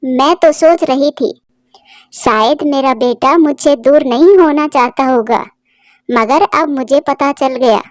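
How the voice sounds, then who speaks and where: A woman's voice: high voice, takes it slowly, no echo, clean studio sound. A woman voicing a sorrowful, tender elderly mother bird in a cartoon, with a plaintive, emotional delivery. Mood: hurt, tearful.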